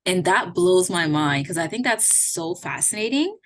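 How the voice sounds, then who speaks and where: A woman's voice: lowish voice, lively intonation, moderate pace. A woman in her thirties, from Haiti, co-hosting a podcast and talking in an earnest, reflective way with plenty of fillers. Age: 30s. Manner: reflective, earnest, many "like" and "you know" fillers. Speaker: podcast co-host. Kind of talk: casual conversation.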